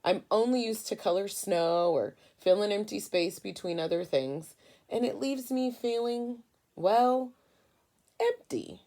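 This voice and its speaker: Woman reading as a sad left-out crayon in a picture book, plaintive, slow, creaky. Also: medium pitch, dark tone, pitched above the performer's own voice, lightly acted. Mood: forlorn. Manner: plaintive, dejected.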